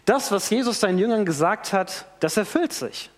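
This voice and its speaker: Lively high-voiced man preaching in a reverberant hall. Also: normal speed. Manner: animated storytelling with acted-out quotes. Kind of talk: sermon. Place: church hall. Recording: long church hall reverb.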